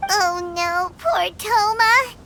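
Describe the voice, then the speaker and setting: A woman voicing a small, childlike fairy companion in an anime-style video game, squeaky and exclamatory, blunt and curious. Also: bright, forceful tone, a little hiss or hum, full character voice. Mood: dismayed. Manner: exclamatory, drawn-out reactions.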